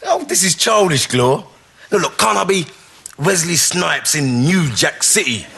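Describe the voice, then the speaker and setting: An actor playing a reluctant, grumbling young boyfriend in a sitcom. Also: male voice, on the high side, sing-song, a little slow, creaky, sharp tone, breathy edge, exaggerated voice.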